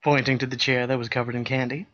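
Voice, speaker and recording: A man narrating fan fiction in a dry, deadpan comedic way, clearing his throat often. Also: medium pitch, quick pace. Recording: dull lo-fi sound.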